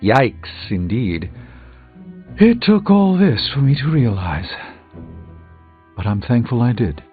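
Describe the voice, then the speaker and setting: Proud, wry sorcerer hero for a game; formal, erudite, mid-pitched; muffled capture, music behind. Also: male voice, very animated, takes it slowly. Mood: reflective. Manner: formal, wordy, dryly witty. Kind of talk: video game character dialogue.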